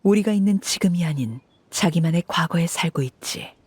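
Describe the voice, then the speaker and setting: A woman voicing a stern, cold woman who runs an orphan house as its "Father", speaking in a cool, measured, commanding way. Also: fairly low pitch, animated, darkish tone, breathy edge, no room sound. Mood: explaining. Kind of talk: game voice acting.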